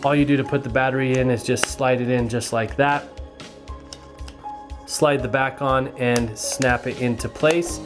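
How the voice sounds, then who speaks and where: A man giving a casual, hands-on product review in a plain-spoken, explanatory way. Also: medium pitch.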